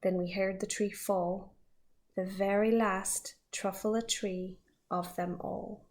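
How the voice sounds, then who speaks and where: A woman's voice: medium pitch, slow. Woman reading a storybook aloud expressively. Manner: expressive and lively.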